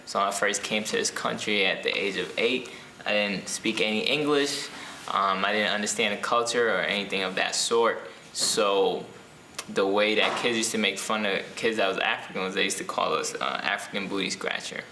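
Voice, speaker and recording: A young man from Ethiopia, an author answering interview questions casually, pausing often with ums and restarts. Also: lowish voice, sharp tone, reverberant, a little hiss or hum. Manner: hesitant, many ums and restarts.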